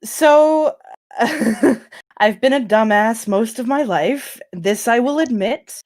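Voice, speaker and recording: A woman's voice: a little high, takes it slowly, a little mellow. A woman on a casual group podcast, telling personal anecdotes in a self-deprecating, informal way. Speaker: podcast member.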